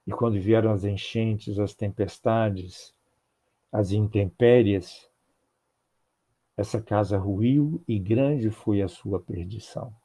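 An older man with a Brazilian accent delivers a spiritual talk over a video call, his voice mellow and warm. He speaks in measured, rhythmic phrases, piling up repeated clauses. The sound comes through a small earphone mic in a home office. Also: lowish voice, breathy edge. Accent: Brazilian Portuguese. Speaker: spiritist lecturer. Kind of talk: religious talk.

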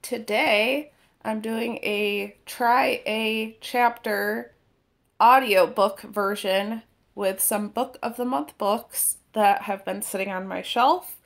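A woman's voice: mid-range voice, fairly even intonation, takes it slowly, vocal fry. A young woman with a congested, stuffy voice, chatting casually about books to a phone camera, rambling with lots of fillers. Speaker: book vlogger. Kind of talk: casual book vlog chat. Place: bedroom, then a parked car, then a room at home. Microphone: phone or webcam at arm's length.

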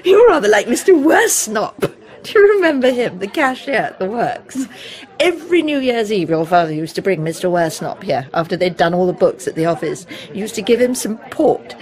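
A woman's voice: medium pitch, very animated, normal speed, darkish tone, natural voice. An actress playing a genteel, chatty middle-aged mother in a period radio drama, fond and breezily dismissive. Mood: amused.

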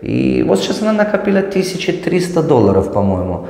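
A man's voice: on the high side, fairly quick, gravelly. A middle-aged man with a Tajik accent gives parenting advice as a business mentor, his voice swooping up and down as he acts out talks with his children. He wears a lapel mic in a glass-walled office, and the room adds a roomy echo with a long reverb tail. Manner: animated, gestures broadly, acts out dialogue with his children. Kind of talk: advice talk answering viewer questions.

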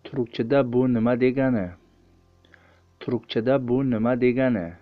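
A man with an Uzbek accent reading translated phrases one by one in a plain, even way. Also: mid-range voice, measured pace, no echo, phone-quality sound, faint music behind. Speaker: language course host and translator.

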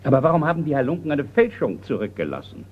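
An actor playing an impatient, indignant retired colonel, older and gruff, in a radio crime play. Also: male voice, mid-range voice, sing-song, quick pace, a little hiss or hum, close to an everyday voice. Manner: blustering, indignant. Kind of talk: radio crime drama acting. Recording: slightly muffled radio play recording.

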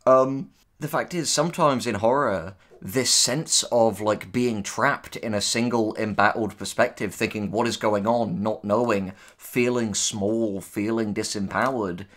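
A man's voice: lowish voice, a little slow, a bit bright. A man co-hosting a casual, opinionated discussion, talking emphatically and freely swearing. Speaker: writer co-hosting a reaction discussion.

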